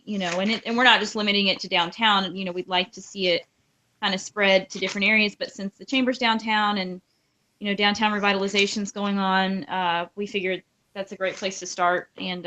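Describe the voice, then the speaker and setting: A woman's voice: mid-range voice, sharp tone, low-quality recording. A woman talking casually as a panelist on a podcast. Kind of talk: casual chat.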